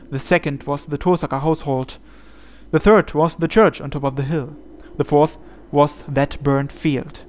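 A man's voice: on the high side, darkish tone. A man reading a visual novel aloud as dramatic scripted narration. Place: indoors, voice-over on game playthrough. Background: game music.

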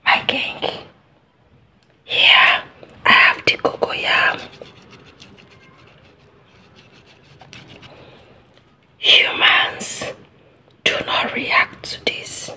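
Folktale native doctor, played by a woman: African accent, reassuring, solemn, whispered, extremely slow. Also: pushed and bright, heavily acted. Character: male village native doctor, reverent and reassuring to his king.

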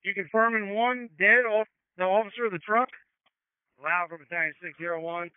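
A man's voice: high voice, a little fast, clear, resonant tone, no echo. A man serving as incident commander, giving terse, procedural orders over fire radio. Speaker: battalion chief, incident commander. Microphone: two-way emergency radio. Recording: tinny, narrow-band fire radio transmission.

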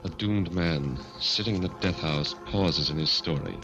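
A man's voice: low voice, moderate pace. A man announcing a 1940s radio thriller in a solemn, dramatic, ominous narrating style. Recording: dull vintage radio recording, loud organ music.

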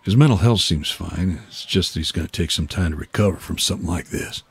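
A man acting a weary, earnest guardian in a video game cutscene, explaining things in a measured way. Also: a little hiss or hum. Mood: calm, concerned. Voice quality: husky, low. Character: weary, earnest man looking after an orphaned boy.

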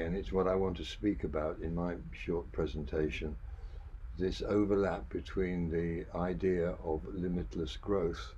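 An older man chairing a panel and giving a reflective, partly scripted presentation in deliberate, halting short phrases, on a dull recording. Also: low-pitched, dark tone, slightly rough. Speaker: panel chair and speaker, doctor. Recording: dull muffled sound with faint noise.

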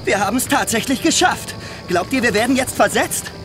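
A man's voice: very high-pitched, animated, normal speed, music in the background. An actor playing a nervy, chatty young male soldier, cautious and fretful, in a video game. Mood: hopeful.

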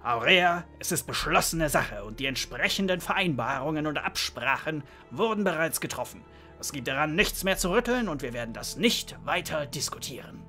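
A man playing a stern, unyielding dwarven father in a fantasy audio drama, speaking formally and with authority in a raspy voice. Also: a little high, expressive, normal speed, bright, forceful tone, faint music behind. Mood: stern, final.

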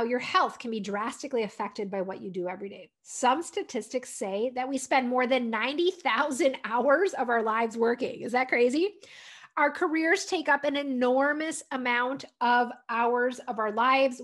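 An American woman, around her thirties or forties, giving an encouraging, conversational instructional talk to camera as a wellness coach. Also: on the high side. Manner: encouraging, conversational, often ending points with "right?". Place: furnished room at home, indoors. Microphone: desktop condenser microphone.

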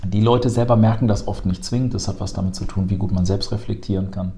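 A man in his thirties talks in a low, mellow voice, explaining at length to a colleague with the ease of someone who teaches courses. He speaks fluently but leans on frequent filler sounds. He sits in a bright office room with a lapel mic. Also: steady tone, fast talker. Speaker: emergency medicine educator. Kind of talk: explanatory conversation.

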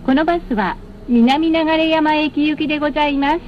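A woman's voice: sits high, normal speed. A woman bus announcer reading scripted stop announcements in a formal, polite, carefully enunciated way over cabin speakers. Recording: muffled, with clear vehicle noise. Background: vehicle noise.

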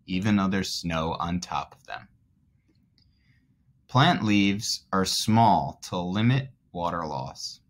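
A man in his thirties reading aloud to young children as their teacher, explaining things in simple terms. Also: fairly low pitch. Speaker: elementary school teacher.

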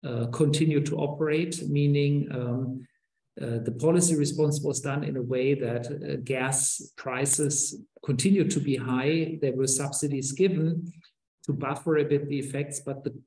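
A man's voice: fairly high pitch, fairly even intonation, a little slow, warm tone. A middle-aged man giving a keynote lecture over a video call, explaining steadily with frequent ums and uhs. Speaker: keynote speaker. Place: office or small room, indoors. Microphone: webcam on a video call. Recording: roomy webcam audio.

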